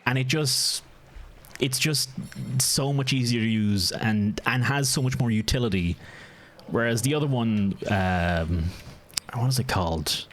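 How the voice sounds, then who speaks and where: A man's voice: medium pitch, slight background noise. A man with a County Meath Irish accent, streaming a game and chatting casually with his viewers in an easygoing, rambling way. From Ireland. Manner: easygoing, rambling, answers chat, frequent restarts. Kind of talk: casual live-stream chat while gaming.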